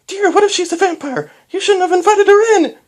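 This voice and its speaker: A performer voicing a fretful, suspicious adult female pony mother in a shrill, alarmed storybook voice. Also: fairly quick.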